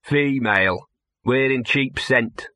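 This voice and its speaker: A man acts out a small, scruffy storybook dog who can talk. He is a streetwise know-all, muttering his sarcasm in a level, sardonic way. The sound is bright and very dry. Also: mid-range voice, slow, dry recording. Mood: smug. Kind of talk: audiobook character voice.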